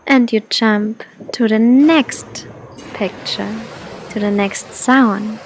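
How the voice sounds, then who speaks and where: Female meditation guide, high soft voice, extremely unhurried delivery, faint ambient noise behind her. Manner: soft, gentle, soothing, with long pauses.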